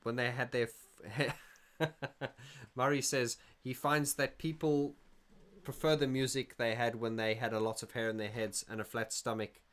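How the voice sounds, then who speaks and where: A man's voice: medium pitch, steady tone, a little slow, fairly bright tone. A middle-aged man from South Africa hosting a casual livestream, reading viewer comments aloud and rambling with frequent restarts. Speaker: livestream host.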